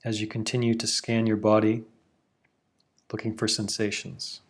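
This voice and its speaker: Calm, slow-speaking male meditation guide. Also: fairly low pitch, slightly rough. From Canada. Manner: slow, calm, soothing instructions with long pauses.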